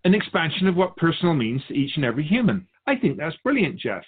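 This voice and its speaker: A man voicing a wise, patient spirit who observes humankind, explaining and questioning in a measured conversational way on a radio show. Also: fairly high pitch, very animated, slightly rough. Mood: thoughtful, encouraging. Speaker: guest sage on a radio show. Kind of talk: conversational philosophical teaching. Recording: thin phone-like band.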